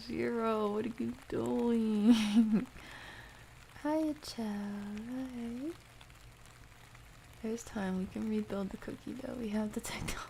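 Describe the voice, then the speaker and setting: A soft-spoken woman hosting an ASMR livestream, chatting casually and gently, often dropping toward a whisper. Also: a little high, creaky, a little hiss or hum, low-quality recording. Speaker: livestream host. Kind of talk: ASMR livestream, casual chat reading and answering viewer comments.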